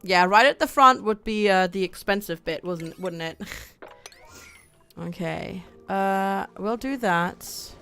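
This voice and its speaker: A woman's voice: medium pitch, slow pace, pushed and bright, smooth. A young woman from Germany chatting casually with her viewers while playing a game on a livestream, reading out chat and laughing often. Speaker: streamer.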